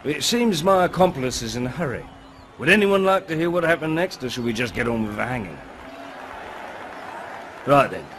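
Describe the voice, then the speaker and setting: An actor voicing a cocky, sly pirate rogue in a video game, glib and drawling, with mock-grand storytelling. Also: male voice, mid-range voice, a bit bright, a little hiss or hum, close to an everyday voice. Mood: cocky, teasing. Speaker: narrator and lead character. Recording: music under the voice.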